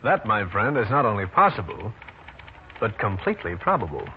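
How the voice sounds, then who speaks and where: Dry, hard-boiled radio private eye. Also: male voice, lowish voice, sing-song, normal speed. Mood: businesslike. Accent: American. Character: tough, cool, wry New York private detective man. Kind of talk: 1940s radio detective drama, first-person narration and dialogue. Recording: muffled, noisy old radio recording.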